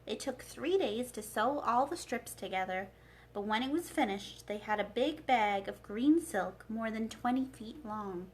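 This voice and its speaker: A woman reading a children's storybook aloud as a librarian, in an expressive narrating style. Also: fairly high pitch, unhurried, low-quality recording.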